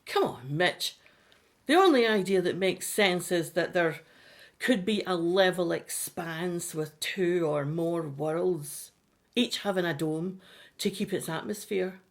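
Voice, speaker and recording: A woman playing a knowing, defiant rebel in an audio drama, explaining things deliberately. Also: lowish voice. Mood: reasoning.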